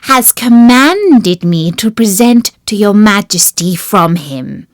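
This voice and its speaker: Female reader as clever booted fairy-tale cat, courtly, persuasive, expressive swoops, unhurried, dry sound. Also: medium pitch, no echo. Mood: courtly, proud.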